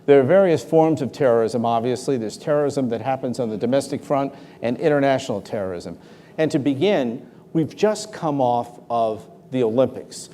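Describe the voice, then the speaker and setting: A man hosts a live town-hall interview, his mellow, relaxed voice steering the questions in a formal way. His words ring out with the long reverb of a large hall. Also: medium pitch, moderate pace. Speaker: talk show host. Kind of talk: hosting a live interview before an audience.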